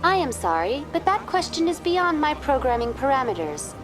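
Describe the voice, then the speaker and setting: A woman playing a polite, unflappable virtual tour guide program in a video game, speaking in a formal, measured, impersonal way. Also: high voice, big pitch swings, music in the background. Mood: politely informative. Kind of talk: scripted informational narration in a video game.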